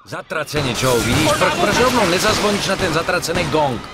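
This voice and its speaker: A man's voice: a little high, sing-song, very noisy. An actor playing a tough, sceptical mobster in his thirties, sardonic and streetwise, in a dubbed crime game. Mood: agitated. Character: tough, sceptical young male mobster hero. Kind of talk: dramatic dubbed video game acting.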